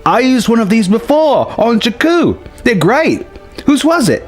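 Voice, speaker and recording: A man voicing an eager, curious young heroine in a story, exclaiming excitedly. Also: extremely high pitch, unhurried, pitched above the performer's own voice, heavily acted. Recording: music behind the voice.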